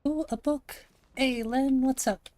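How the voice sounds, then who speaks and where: Gravelly-voiced woman streamer, dry close mic. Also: sits high, fairly even intonation, slow pace, mellow, no room sound. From Montana, USA. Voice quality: gravelly, slightly raspy. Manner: chatty, thinks aloud, reads and answers chat. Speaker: game streamer. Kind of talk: casual live-stream commentary while playing.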